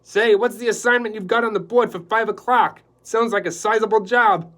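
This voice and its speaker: A man reading aloud and voicing a loud, breezy, joking male photographer. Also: high voice, normal speed, pitched above the performer's own voice. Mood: brash.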